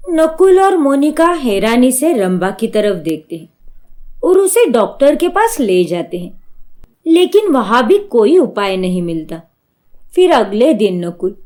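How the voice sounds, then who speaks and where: Woman reading out a story, pretty high voice, takes it slow. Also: mellow. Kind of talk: scripted story narration.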